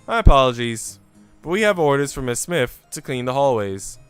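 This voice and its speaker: A defiant housemaid played by a man answers with composed, dry politeness. The voice is smooth and clear with lively inflection, in visual novel style. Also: medium pitch, moderate pace, soft background music, lightly acted. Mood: calm defiance. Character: cool, calm, protective housemaid, defiant and dry.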